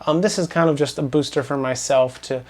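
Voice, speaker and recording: A man's voice: fairly high pitch, fairly quick, darkish tone. Scratchy-voiced young man vlogging. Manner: rambling, filler-heavy, earnest. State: slightly scratchy voice. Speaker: finance vlogger. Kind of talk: casual portfolio update to camera.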